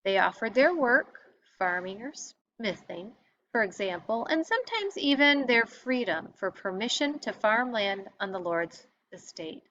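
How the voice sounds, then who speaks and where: A woman's voice: medium pitch, very animated, unhurried, pushed and bright. Woman reading aloud, dull noisy recording. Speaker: teacher reading a textbook aloud. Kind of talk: read-aloud lesson narration. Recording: dull lo-fi audio with clear background noise.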